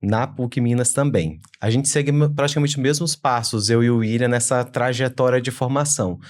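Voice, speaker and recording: A young man from Manaus talks as a lawyer guest on a business podcast. He explains in a measured, steady way, setting out his points at an even pitch. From Manaus, Amazonas, Brazil. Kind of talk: podcast interview.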